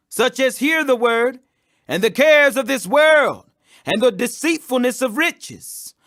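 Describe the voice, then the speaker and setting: Man, very high voice, animated intonation, emphatic scripture audiobook reading, dry close sound. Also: dry recording. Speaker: Bible reader.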